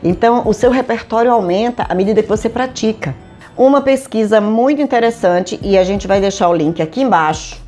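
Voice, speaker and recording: A woman's voice: medium pitch, dark tone. A middle-aged Brazilian woman from Manaus with a local accent, an HR consultant speaking straight to her viewers in a conversational, emphatic way, full of rhetorical questions. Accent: Brazilian Portuguese, Manaus. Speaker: HR consultant and career advisor. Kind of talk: direct-to-camera talk addressing viewers. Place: home studio in Manaus.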